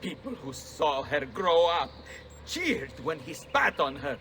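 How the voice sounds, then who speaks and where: A man's voice: on the high side, big pitch swings, takes it slowly, a bit bright, slight background noise, exaggerated voice. An actor playing a bitter, grief-stricken Italian man, near tears, in a video game, with an Italian accent. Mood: anguished, bitter.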